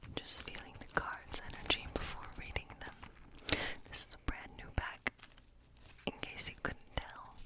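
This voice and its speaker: A playful, slightly boastful witch of the woods, acted out by a woman, speaks entirely in a breathy whisper. She is intimate and teasing, chatting to a guest in an ASMR fantasy role play and softly repeating words. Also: close to an everyday voice, low-quality recording. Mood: relaxed, chatty. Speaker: ASMR performer.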